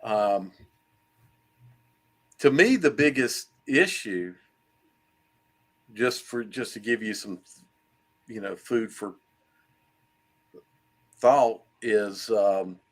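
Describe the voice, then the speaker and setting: Man, co-host, casual sports chat, slow pace, thoughtful, trailing off. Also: lowish voice, animated, a bit bright.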